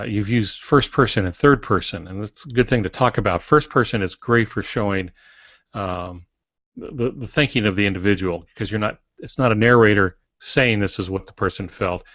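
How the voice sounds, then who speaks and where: A man co-hosting a podcast, teaching conversationally in a measured, instructive manner. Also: lowish voice, fairly bright tone. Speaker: podcast co-host. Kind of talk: conversational teaching on fiction writing. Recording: roomy, slightly muffled podcast recording.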